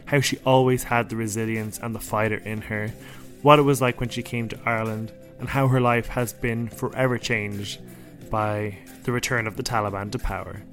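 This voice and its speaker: A man's voice: on the low side, steady tone, normal speed, fairly bright tone, faint music behind. A man hosting a documentary interview series, reading a scripted intro and then asking friendly questions. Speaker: podcast host.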